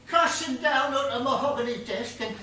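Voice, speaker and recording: Comic stage role: rambling gardener actor, high voice, stammering, earnest, humble, literal-minded; heard in a very reverberant hall. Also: moderate pace, bright, forceful tone. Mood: eager, earnest. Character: rambling, muddled, literal-minded estate gardener being questioned. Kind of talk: comic stage play acting. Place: stage of a small theatre. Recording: distant echoey theatre recording, faint noise.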